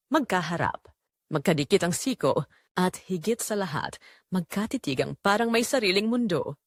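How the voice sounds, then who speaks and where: A woman with a Filipino accent narrates a scripted story dramatically, in measured, suspenseful short phrases. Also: on the low side, no room sound, clean studio sound.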